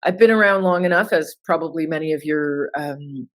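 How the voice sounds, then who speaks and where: A woman's voice: sits low, normal speed. A woman in her forties from Toronto, giving chatty, informal answers as an interview guest over a remote call. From Toronto, Canada. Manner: chatty and informal, with filler words. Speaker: interview guest, sustainability consultant.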